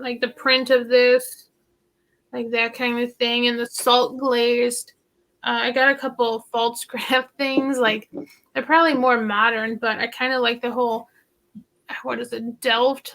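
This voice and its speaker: Chatty woman host with creaky voice. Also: a little high, a bit bright. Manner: rambling, heavy use of "like" fillers. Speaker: host of a vintage thrifting channel. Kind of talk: casual livestream chat.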